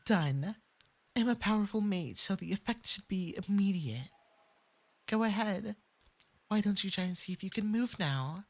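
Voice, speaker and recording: A performer with a light, breathy voice playing a clingy, lovestruck fox-spirit femboy mage in an intimate ASMR roleplay, coaxing sweetly and possessively. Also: medium pitch, normal speed. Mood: tender, pleased. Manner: sweetly coaxing, possessive, swinging into eager excitement.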